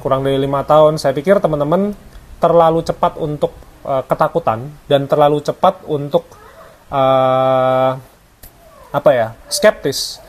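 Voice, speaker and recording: A man, his voice sitting a little high. He talks to camera in a loose, conversational way, pausing on fillers and dropping in English words. The sound is close and clean, as from a clip-on mic. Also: darkish tone. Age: 30s. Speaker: vlogger and photographer. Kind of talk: casual talk to camera. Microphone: clip-on lavalier.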